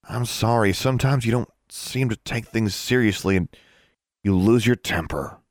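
Man playing an older brother, solemn, prim, protective, measured pleading, audiobook dialogue, dry recording. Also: on the low side, dry recording, pitched above the performer's own voice, lightly acted. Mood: solemn, apologetic. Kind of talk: audiobook character voice.

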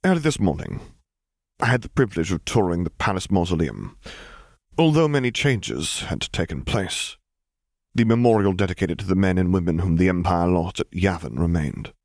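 A man voicing an earnest, courteous male admiral who speaks in a formal, measured way, in an audiobook. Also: deep voice, lively intonation, darkish tone, dry recording, studio quality. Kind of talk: audiobook character voice.

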